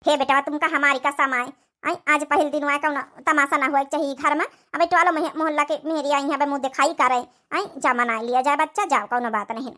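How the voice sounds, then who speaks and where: A woman's voice: sits high, steady tone, brisk, sharp tone. A woman voicing a fretful, peacemaking village mother-in-law in a comedy cartoon, coaxing and rustic, with an Awadhi accent. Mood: placating.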